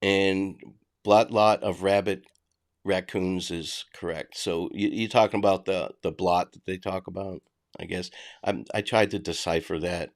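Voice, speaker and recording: Middle-aged boston guy on a livestream, low-ish voice, blunt and chatty, lots of restarts. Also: fairly even intonation, measured pace. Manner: blunt, conversational, frequent restarts. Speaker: livestream host reading chat. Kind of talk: casual livestream chat.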